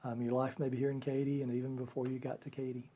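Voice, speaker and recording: A woman's voice: very deep voice, flat delivery, fast, mellow, breathy edge. A woman hosting a podcast, asking a guest friendly, encouraging questions. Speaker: podcast host. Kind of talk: conversational interview.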